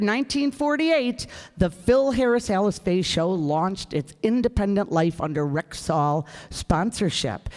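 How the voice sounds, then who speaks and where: A woman's voice: mid-range voice, a little mellow. A woman presenter reading introduction notes to an audience before a radio play. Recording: reverberant hall.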